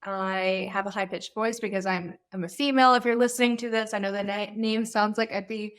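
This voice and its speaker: A young woman chatting on a podcast in a voice of ordinary pitch. She talks in a playful, easygoing way and speaks openly to the listener. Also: fairly even intonation, fairly quick, fairly bright tone, clean tone. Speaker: guest. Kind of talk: casual podcast conversation.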